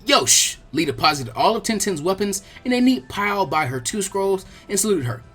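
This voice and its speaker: An audiobook narrator reading fan fiction in an expressive, storytelling style. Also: mid-range voice, brisk, breathy edge, soft background music.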